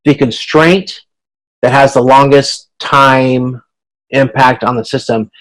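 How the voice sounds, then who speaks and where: Male instructor, mid-range voice, informal explanatory delivery, halting and restarting often. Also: medium pitch, rough recording. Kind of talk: informal explanatory lecture over video conference.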